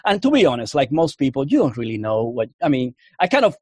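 A man's voice: mid-range voice, very animated, fast talker, a little mellow, slightly rough, no room sound. A man from Puerto Rico with a Cuban accent, an Army veteran telling his story in a casual interview, rambling with many restarts and fillers.

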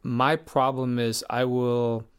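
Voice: medium pitch, level tone, slow pace, clean tone. An interview host who asks questions in a loose, chatty way, full of filler words. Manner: loose, chatty, many "you know" and "like" fillers. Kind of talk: casual interview chat.

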